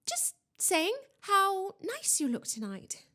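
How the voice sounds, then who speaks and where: A woman voicing an anxious, placating schoolgirl with urgent, over-polite, forced brightness in a storybook. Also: very high-pitched, animated, unhurried, pitched above the performer's own voice. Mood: falsely bright.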